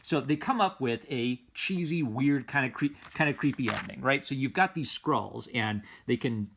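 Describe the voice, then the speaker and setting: Guy with a mid-pitched voice just rambling happily about comics, off the cuff, keeps restarting phrases. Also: normal speed, creaky, fairly bright tone, a little hiss or hum. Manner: rambling and enthusiastic, often circling back on phrases. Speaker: comic book reviewer. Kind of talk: casual unscripted commentary.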